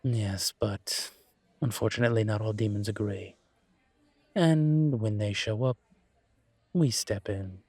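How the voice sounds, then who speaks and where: A man playing a calm, courteous incubus professor and investigator in an intimate audio roleplay, speaking considerately with careful pauses. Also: on the low side, breathy edge, no room sound. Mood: earnest. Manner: measured, considerate, hesitant pauses. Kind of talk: scripted audio roleplay, intimate one-sided conversation.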